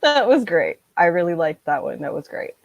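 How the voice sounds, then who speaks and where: A woman around her thirties chatting casually on a video call, acting out her reactions and quotes in an animated way. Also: fairly low pitch, moderate pace, creaky, dry recording. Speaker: co-host of a book discussion. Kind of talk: casual chat. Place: small room at home, on a video call.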